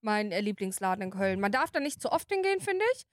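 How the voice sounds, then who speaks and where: A woman's voice: medium pitch, very animated, fast, dry recording, clean studio sound. A woman in her mid twenties co-hosting a podcast in an informal, casual chat with youthful slang. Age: mid 20s. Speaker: podcast co-host.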